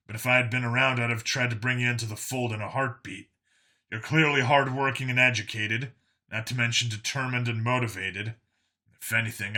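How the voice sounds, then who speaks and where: A man's voice: mid-range voice, bright, forceful tone. A man voicing a tough human enforcer in an audiobook story, explaining himself in an earnest, blunt way.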